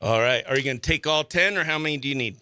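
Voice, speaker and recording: A man's voice: mid-range voice, fast, pushed and bright, smooth. A man hosting a radio morning show, chatting casually and upbeat with informal slang as he runs a contest. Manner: informal slangy, exclaims dude and oh heck. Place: small radio studio, close dry mic.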